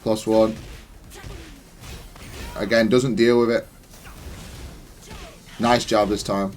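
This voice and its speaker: Man, lowish voice, matter-of-fact gameplay breakdown, stop-start thinking aloud, faint music behind. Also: little pitch movement, slow. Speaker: gamer explaining own match replay. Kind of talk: analytical gameplay commentary.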